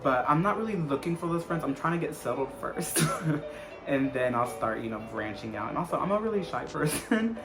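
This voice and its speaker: A man's voice: on the high side, animated, a little fast, rough recording. A man in his twenties with an American accent, chatting casually and rambling a little as he answers questions as a vlogger, often repeating phrases for emphasis. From United States. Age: 20s. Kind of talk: casual Q&A chat to camera. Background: background music.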